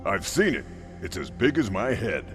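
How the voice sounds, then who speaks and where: A man's voice: on the low side, very animated, normal speed, fairly bright tone, faint music behind. An actor voicing a boastful, hot-headed male warrior in a video game, with a blustering, hearty manner. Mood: indignant bluster. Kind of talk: game cutscene acting.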